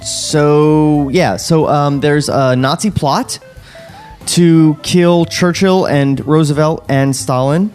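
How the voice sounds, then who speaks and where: A man hosting a podcast in casual chat, recapping a story haltingly with sarcastic, sweary asides. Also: on the high side, darkish tone. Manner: foul-mouthed, sarcastic. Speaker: podcast host recapping a script. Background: music bed.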